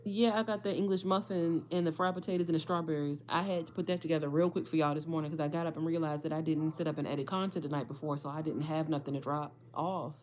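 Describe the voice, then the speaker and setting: Chatty slightly hoarse woman. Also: sits low, fairly even intonation, quick pace, hardly any pauses, darkish tone, low-quality recording. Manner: chatty, rambling, addresses viewers as y'all. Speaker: vlogger. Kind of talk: casual livestream chat.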